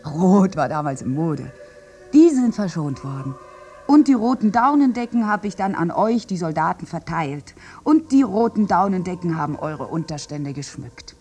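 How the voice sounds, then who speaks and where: A woman's voice: lowish voice, very animated, normal speed, mellow, faint music behind. An actress playing a bitter, wounded village woman who survived a massacre, in a theatrical, morbidly wry radio drama monologue. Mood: wistful, dramatic.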